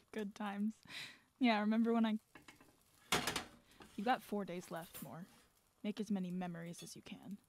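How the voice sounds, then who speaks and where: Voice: mid-range voice, level tone, measured pace, pauses often, noisy background, close to an everyday voice. An actor playing a biting, sarcastic astronaut who nurses a grudge in an audio drama. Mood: grudging. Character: Hugh, bitter sarcastic astronaut nursing a grudge.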